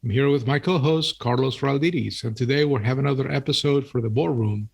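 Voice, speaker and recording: A man's voice: medium pitch, fast talker, creaky, husky. A man opening a business podcast as host with a brief, formal welcome. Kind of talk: scripted show opening.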